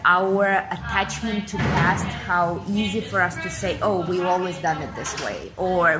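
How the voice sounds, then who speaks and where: A woman's voice: lowish voice, rough recording. A woman in her forties from Kazakhstan, a guest giving fluent, emphatic interview answers and repeating phrases for effect. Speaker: interview guest, business speaker.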